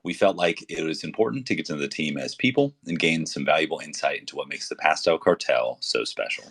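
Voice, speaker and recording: Very deep-voiced upbeat co-host. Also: male voice, fast, a bit bright, husky. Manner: upbeat, chatty, some fillers. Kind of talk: casual interview hosting. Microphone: remote call.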